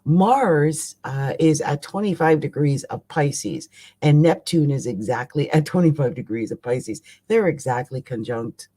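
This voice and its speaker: A woman with a raspy voice chatting casually as the host of a live astrology stream, rambling and conversational with emphatic asides. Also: sits low, lively intonation, unhurried, dark tone.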